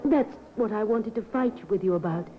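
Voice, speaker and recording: A woman's voice: medium pitch, moderate pace, lightly acted. A woman playing an affectionate but discontented mistress, pleading earnestly in an old radio drama. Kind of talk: 1950s radio drama acting. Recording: tinny, narrow old radio recording.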